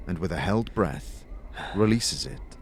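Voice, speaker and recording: A man narrating a fantasy audio drama as game master, deliberate and suspenseful in his scripted delivery. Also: sits low, expressive, a little hiss or hum.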